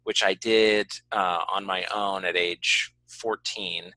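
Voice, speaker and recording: A man's voice: low voice, fairly even intonation, takes it slowly, pushed and bright, no echo, rough recording. A man hosting a podcast, talking casually in an earnest, explanatory way and qualifying his points as he goes. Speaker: podcast host. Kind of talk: casual conversational interview talk.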